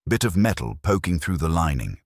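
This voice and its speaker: A man's voice: low-pitched, very animated, fairly quick, no echo, clean studio sound, full character voice. A man voicing a stooped old gravedigger in a mystery story, speaking in a deferential murmur with rustic turns of phrase. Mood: hushed, uneasy. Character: stooped old male gravedigger, deferential, hushed, quietly poetic. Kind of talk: dramatised audiobook-style mystery storytelling.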